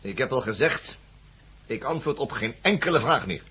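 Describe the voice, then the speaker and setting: A man's voice: mid-range voice, expressive, moderate pace, close to an everyday voice. Man playing a curt, defiant male prisoner. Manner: curt, evasive. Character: stubborn, defiant male prisoner under interrogation. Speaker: actor in a radio play. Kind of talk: radio drama acting. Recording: noisy old radio play recording.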